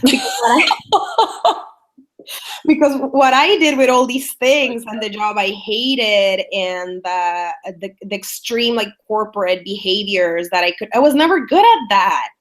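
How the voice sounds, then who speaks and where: A woman's voice: medium pitch, animated, measured pace. A woman in her thirties from El Salvador with a Salvadoran Spanish accent, telling her story as a webinar guest, chatty with many fillers and restarts. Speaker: guest speaker. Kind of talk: webinar interview talk.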